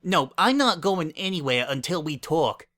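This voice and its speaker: Man as snooty female pony, lifted pitch, bright sharp tone, sniffy, scornful, storybook drama. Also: very animated, moderate pace. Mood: snippy, demanding. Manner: pompous, sniffy, indignant. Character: haughty, scornful young female pony confectioner.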